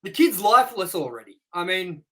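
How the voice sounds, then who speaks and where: A man's voice: high-pitched, big pitch swings, fairly quick, sharp tone. A young man hosting a casual review podcast, joking crudely and swearing often. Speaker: podcast host.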